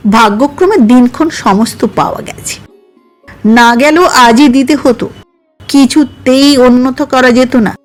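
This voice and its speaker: A woman voicing a kindly, fatherly older man in an audio story, explaining things gently and patiently. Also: sits high, unhurried, faint music behind. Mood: gentle, reassuring.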